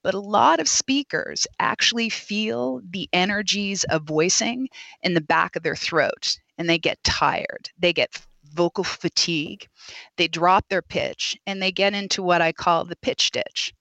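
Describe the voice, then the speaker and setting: Animated woman voice coach, dry close mic. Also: lowish voice, measured pace, creaky, dry recording. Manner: explanatory, animated, demonstrates voice techniques. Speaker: voice coach and speech therapist. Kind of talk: expert interview guest. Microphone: podcast microphone.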